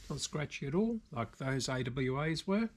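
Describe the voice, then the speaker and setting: An Australian man giving casual, unscripted hands-on repair commentary, halting now and then as he thinks aloud. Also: medium pitch, animated. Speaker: video host, radio repairer. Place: indoor workshop.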